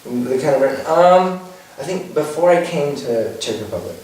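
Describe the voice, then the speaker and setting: A man's voice: medium pitch, expressive, normal speed, a little mellow. A young man from California being interviewed, chatting casually with many fillers and slipping in a few foreign words. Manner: chatty, many fillers like "like" and "you know", drops Czech words. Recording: echoey room, voice far from mic, faint noise.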